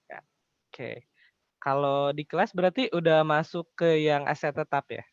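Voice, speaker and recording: A man's voice: on the high side, steady tone, normal speed, smooth, dry recording, dull audio. A young man tutoring informally, explaining step by step and often asking questions he then answers. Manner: poses questions then answers them, colloquial. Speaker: accounting tutor.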